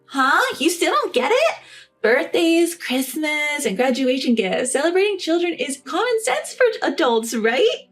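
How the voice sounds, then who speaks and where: A woman's voice: sits high, big pitch swings, a little slow, fairly bright tone, faint music behind, pitched above the performer's own voice, heavily acted. A woman voicing a snide, entitled sister-in-law and young mother with a condescending, whiny manner. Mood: demanding.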